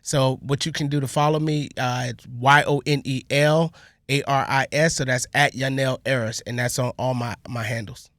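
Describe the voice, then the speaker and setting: A man's voice: medium pitch, steady tone, moderate pace, a bit bright, no room sound, clean studio sound. A man appearing as a podcast guest, promoting his work in casual conversation.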